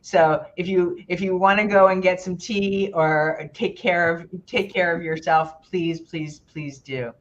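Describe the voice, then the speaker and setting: A low-voiced woman hosts an online gathering, chatty and encouraging. Her voice comes through dull, lo-fi call audio. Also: steady tone, measured pace, few pauses. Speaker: host. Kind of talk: hosting an online party. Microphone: video call.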